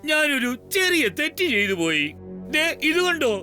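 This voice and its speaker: A fretful male robot builder from a children's cartoon, speaking in a high-pitched voice. He is apologetic and flustered, exclaiming as he explains, with music clearly behind him. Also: big pitch swings, moderate pace. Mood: flustered, explaining. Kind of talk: dubbed children's cartoon acting.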